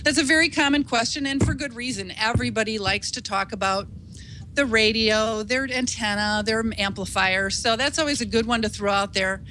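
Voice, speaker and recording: Bright-voiced woman giving informal lesson. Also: a little high, moderate pace, a little hiss or hum, rough recording. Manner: encouraging, reassuring, conversational. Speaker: instructor, ham radio operator. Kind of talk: informal instructional talk.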